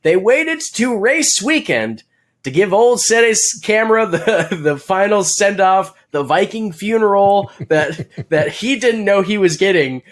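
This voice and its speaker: A man's voice: high-pitched, lively intonation, unhurried. A man co-hosting a podcast, recapping racing in casual chat, rambling with many fillers and jokes. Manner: rambling, filler-heavy, joking and laughing. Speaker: podcast co-host.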